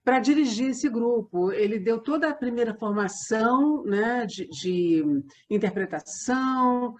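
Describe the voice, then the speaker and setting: Female interviewee, Brazilian accent, slightly raised pitch, conversational storyteller, halting and digressive. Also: darkish tone. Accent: Brazilian Portuguese. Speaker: interview guest, theatre company member.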